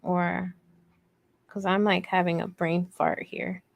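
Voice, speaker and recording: A woman with a soft voice, hosting a casual craft livestream in a rambling, playful, self-deprecating way as she answers viewer comments. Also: lowish voice, little pitch movement, slow pace, creaky, dry recording. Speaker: host of a crochet livestream.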